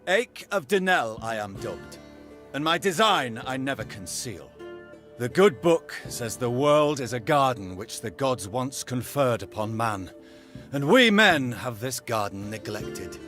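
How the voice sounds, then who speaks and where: An actor playing a zealous, chivalrous knight-errant in a game, declaiming pompously in archaic phrases. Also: male voice, medium pitch, sing-song, a little slow, fairly bright tone. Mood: solemn, zealous. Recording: music under the voice.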